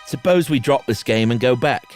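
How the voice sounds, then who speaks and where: A man's voice: mid-range voice, animated, normal speed, over music, close to an everyday voice. A man playing a nervous, defensive man spooked in a haunted house, read earnestly and uneasily. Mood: uneasy.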